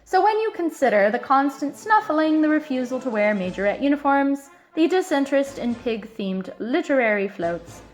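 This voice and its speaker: A woman reading a children's picture book aloud in an expressive, emphatic way, stretching out key words. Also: high voice, moderate pace, few pauses, faint music behind. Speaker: storybook reader.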